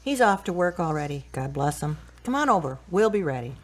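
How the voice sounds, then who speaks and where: Woman acting as friendly sister, audio drama, lively intonation, slightly low, homely, welcoming. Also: fairly quick.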